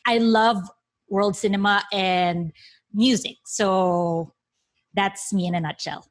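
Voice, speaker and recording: A woman's voice: medium pitch, fairly even intonation, slow. A woman in her thirties from the Philippines giving a casual self-introduction on a podcast, in an easygoing way. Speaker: podcast co-host.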